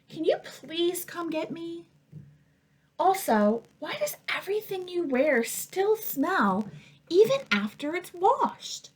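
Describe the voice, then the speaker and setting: A woman's voice: sits high, fairly bright tone, full character voice. A woman voicing a bewildered, wryly complaining crayon in a children's storybook. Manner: wry, complaining. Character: smelly, bewildered turquoise crayon stuck to sock.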